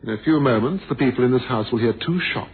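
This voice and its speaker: An actor playing a cold, vain, calculating murderer with a suave, condescending, theatrical manner in a radio drama. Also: male voice, mid-range voice, fast, close to an everyday voice. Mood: gloating, condescending. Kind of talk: 1970s radio drama acting. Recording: tinny, noisy old radio broadcast.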